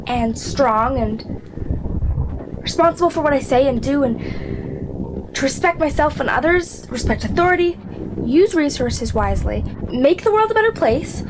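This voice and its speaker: Young Girl Scout, high voice, earnest, careful halting recitation, audio drama, clear background noise.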